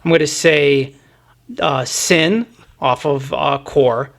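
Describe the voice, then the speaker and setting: A man's voice: fairly high pitch, fairly even intonation, slow, creaky, fairly bright tone. A man co-hosting a casual music discussion, enthusiastic and opinionated. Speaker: music podcast co-host.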